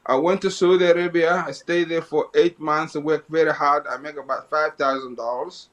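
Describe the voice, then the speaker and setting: A man from Somalia, a guest in an interview telling his life story, often halting and repeating phrases as he goes. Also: fairly high pitch, steady tone, fairly bright tone.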